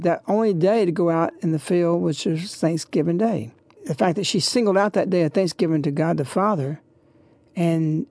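A radio host with a creaky, gravelly voice at a middling pitch, recorded close and dry. The talk is informal and devotional, wandering along in a reverent way. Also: warm tone, dry recording.

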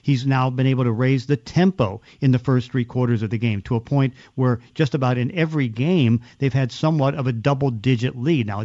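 A man with a medium-pitched voice talks through football analysis as a podcast host. He explains in a loose, conversational way, and the sound is dry and a little dull. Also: a little mellow, dry recording.

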